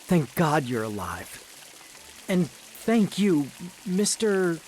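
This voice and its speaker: A man's voice: fairly high pitch, a little hiss or hum. A man playing a stern but loving father and upright judge, with a grave, dramatic delivery in a mystery game. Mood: worried, relieved.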